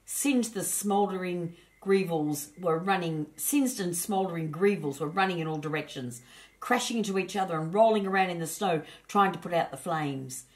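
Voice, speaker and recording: A woman's voice: lowish voice, moderate pace. A woman storyteller narrating a children's storybook.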